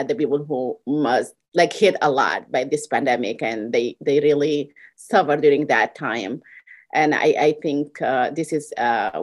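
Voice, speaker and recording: A woman from Sudan, guest on a public health podcast, who talks in a halting way with many fillers and restarts. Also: low-pitched, darkish tone. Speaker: executive director of a worker center.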